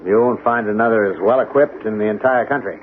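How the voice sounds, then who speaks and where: An actor playing a proud military colonel who runs a secret project, speaking in a formal, assertive, officious way in 1970s radio drama. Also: male voice, on the low side, fast, phone-quality sound, lightly acted. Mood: proud, courteous. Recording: faintly noisy, band-limited 1970s radio recording.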